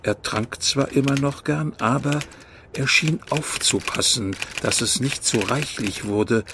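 A man narrating a scripted radio drama in a measured way with long pauses. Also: mid-range voice, vocal fry, background noise.

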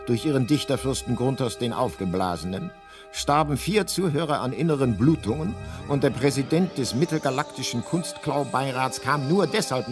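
A male actor as a talking encyclopedic guidebook in a radio play. He narrates in a deadpan, measured manner, with music clearly playing behind him. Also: mid-range voice, close to an everyday voice. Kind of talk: scripted radio drama narration.

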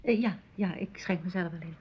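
An actress in a Dutch radio play, playing a flustered, dutiful doctor's wife who speaks in a halting, hesitant way. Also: female voice, fairly low pitch, breathy edge. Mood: hostess politeness. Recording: dull lo-fi radio play recording.

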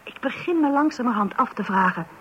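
An actress playing an assertive, chatty wife in a radio play, with a husky voice. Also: female voice, a little high, expressive, brisk, a little hiss or hum, natural voice. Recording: dull old radio recording.

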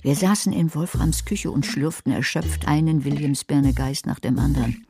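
A dry, sarcastic caretaker. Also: female voice, low-pitched, moderate pace, dark tone, soft background music. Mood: narrating. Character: sharp-tongued woman caring for a sick man. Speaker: narrator and main character Carla. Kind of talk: radio drama acting with narration.

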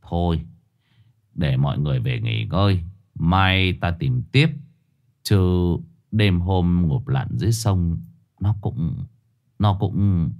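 A man voicing a calm older village man who speaks slowly and soothingly. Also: sits low, highs cut off, exaggerated voice. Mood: consoling. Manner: slow, soothing.

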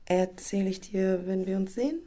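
A woman acting an emotional, evasive young woman in naturalistic audio drama, speaking in a halting, colloquial way. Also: mid-range voice, mellow, lightly acted. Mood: apologetic. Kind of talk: naturalistic dialogue in a fiction podcast.

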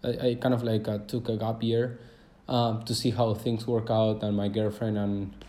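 A man's voice: fairly low pitch, flat delivery, moderate pace, dark tone. A man in his twenties from Argentina, speaking English as he hosts a casual solo podcast. He rambles hesitantly, with lots of fillers and restarts. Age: 20s. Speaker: podcast host.

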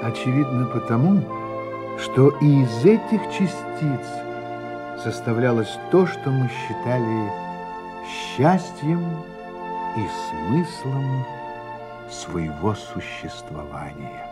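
An actor narrating a radio play as a man looking back on his life, in a slow, reflective manner that falls to a hush at the ends of phrases. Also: mid-range voice, very animated. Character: adult man recalling his youth, reflective and grave. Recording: studio narration over music. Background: music score.

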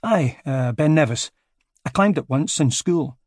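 A man's voice: on the high side, animated, a little slow, a little mellow, breathy edge, dry recording, studio quality. A man voicing a mild, dryly ironic Scottish man in his thirties, with a Scottish accent. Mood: polite. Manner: polite, understated, dryly ironic.

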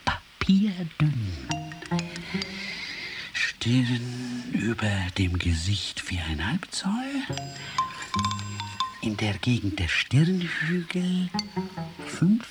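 Distant, pedantic anatomist, swinging pitch. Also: male voice, huge pitch swings, extremely slow, pauses often, over loud music, full character voice. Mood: self-important. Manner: clipped phrases, pedantic, emphatic. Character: exacting, self-important male skull-measuring anatomist. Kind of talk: radio drama acting.

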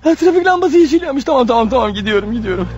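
A man's voice: very high voice, expressive, rapid-fire, slight background noise. An actor playing an exhausted, wounded man on the run in a radio play, urgent and breathless as he mutters to himself. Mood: panicked, straining. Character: desperate wounded fugitive man, exhausted, racing to his wife. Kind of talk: radio theatre acting, inner monologue. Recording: muffled radio drama recording. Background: music underneath.

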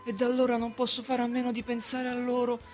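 An anxious merchant of a radio play, acted by a woman, speaks in a high voice with faint music behind. The delivery is confiding and insistent, with the submissive fretting of an obsessed client. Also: completely flat delivery, quick pace, a little mellow, close to an everyday voice. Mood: awed, tormented. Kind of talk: stage acting in a radio drama.

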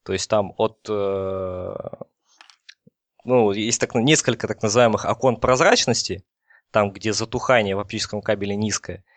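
A man's voice: fairly low pitch, fairly even intonation, moderate pace, dry recording. A man explaining technical material in a casual podcast discussion, hesitant and thinking aloud as he goes. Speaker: technical expert explaining telecom topics.

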